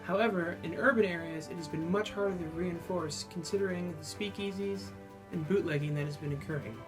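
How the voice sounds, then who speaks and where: A man's voice: high voice, a little fast. Formal male reporter over music. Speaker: news correspondent. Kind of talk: scripted news report reading.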